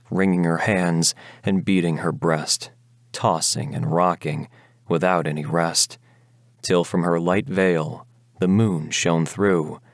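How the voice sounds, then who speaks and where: Male narrator for Gothic balladry: deep register, theatrical, measured verse reading, dry studio-like sound. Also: no echo. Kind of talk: dramatic recitation of a Gothic ballad.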